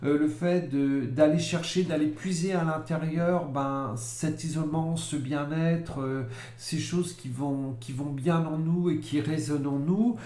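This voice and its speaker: A man giving a casual monthly tarot-style forecast, talking slowly with many fillers and repeated words. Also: on the high side, steady tone, dark tone. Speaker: psychic card reader. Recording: echoey room, faint noise.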